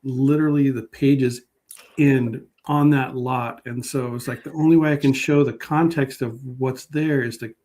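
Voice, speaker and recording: A man speaks as a guest in a casual interview. His voice is of average pitch. He is unassuming and halting, working out his thoughts as he goes. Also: fairly even intonation, a little mellow. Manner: halting and unassuming, thinking aloud. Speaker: guest public historian.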